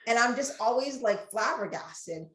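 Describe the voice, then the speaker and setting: A woman with a Ghanaian accent, a guest author talking casually on a podcast, animated and rambling with quick asides. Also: fairly high pitch, a little hiss or hum. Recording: roomy echo.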